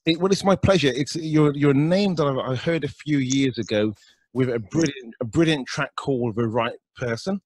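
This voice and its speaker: A male host with a mid-pitched, slightly husky voice, asking questions with eager enthusiasm. The recording is dry and close, with no room sound. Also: moderate pace, no echo. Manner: warmly enthusiastic, with stumbles and restarts. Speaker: show host. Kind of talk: interview hosting.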